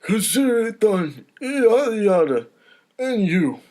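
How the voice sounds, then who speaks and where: A man playing a besotted, theatrical mafia Don in a comedy table read, effusive and drawn-out in delivery. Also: sits high, big pitch swings, a little mellow, voice pushed up, full character voice. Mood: grand, dismissive. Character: gushing, theatrical mob boss Don, besotted with wife.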